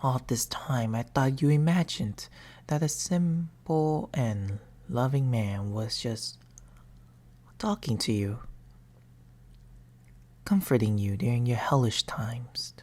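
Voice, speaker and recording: A woman voicing a patient, mournful male sleep paralysis demon in a hushed, formal ASMR roleplay, often whispering close to a binaural microphone. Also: extremely low pitch, slow, long pauses, heavily acted. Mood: wounded, brooding.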